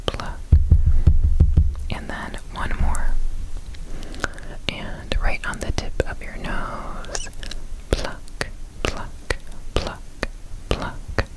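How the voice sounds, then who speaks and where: A woman whispering softly and soothingly in an ASMR roleplay as an examiner. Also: rough recording. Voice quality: soft unvoiced whisper. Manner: soothing, repeats trigger words slowly.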